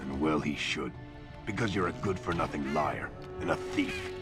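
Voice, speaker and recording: An actor playing a blunt, scornful dwarf mercenary boss with a gruff, rough voice in a video game. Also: male voice, extremely low pitch, moderate pace, music in the background. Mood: stern, bossy. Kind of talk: video game character acting.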